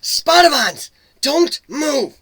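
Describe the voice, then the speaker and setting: A man's voice: extremely high pitch, very animated, extremely slow, fairly bright tone, no echo, voice pushed up, heavily acted, poor audio. A man voicing a bossy, streetwise female cat guide in a fantasy storybook reading. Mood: urgent. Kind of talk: dramatic storybook character reading.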